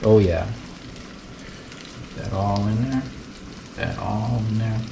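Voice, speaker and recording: A man from the United States hosting a relaxed, chatty cooking tutorial in his kitchen. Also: lowish voice, takes it slowly, gravelly, a little hiss or hum. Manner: relaxed, chatty, fond of saying beautiful. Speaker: cooking channel host. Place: kitchen with food frying on the stove. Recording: roomy sound, mic set at a distance, sizzling pan.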